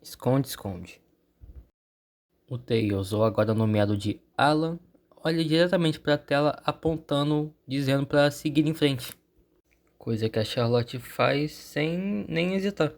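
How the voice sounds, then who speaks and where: A man's voice: fairly high pitch, unhurried. A man with a Brazilian accent telling a story as a channel host, in an informal scripted narration.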